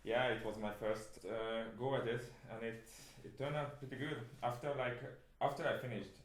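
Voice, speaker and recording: A man's voice: medium pitch, fairly even intonation, normal speed, roomy sound, slight background noise. A man with a Hungarian accent, Hungarian his first language, talking in earnest and enthusiastic way in a casual book club conversation in a home studio. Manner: earnest and enthusiastic, laughs easily.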